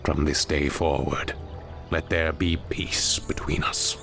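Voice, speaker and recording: Low-pitched male brooding outcast warrior, proud, earnest, solemn, dramatic game dialogue. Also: little pitch movement, slow pace, gravelly, whispered, music in the background, full character voice. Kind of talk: video game cutscene voice acting.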